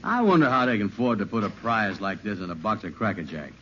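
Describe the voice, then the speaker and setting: An actor playing a slow, gullible, easygoing man in an old radio sitcom, with a drawling, folksy manner. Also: on the low side, big pitch swings. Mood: easygoing, puzzled. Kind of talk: 1940s-50s radio comedy acting. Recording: muffled old radio recording, loud hiss.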